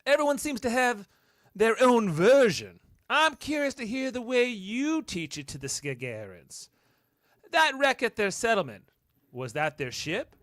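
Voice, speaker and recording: Man acting a high-voiced, animated starship captain. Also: unhurried, dry recording, clean studio sound, exaggerated voice. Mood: probing, wry. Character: curious, self-assured starship captain among frontier settlers. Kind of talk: amateur radio play acting.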